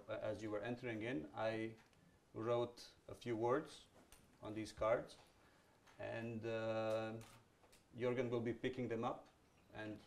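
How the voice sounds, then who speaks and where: Male onstage moderator, low-ish voice, chatty with false starts, faint room ambience. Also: a little hiss or hum. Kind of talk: onstage masterclass conversation.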